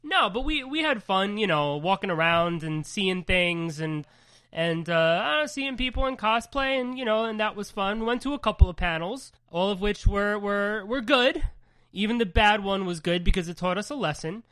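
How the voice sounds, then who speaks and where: A woman's voice: medium pitch, lively intonation, moderate pace, fairly bright tone. A woman co-hosting a casual podcast, rambling and swearing freely, with a congested voice from a recent cold. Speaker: podcast co-host.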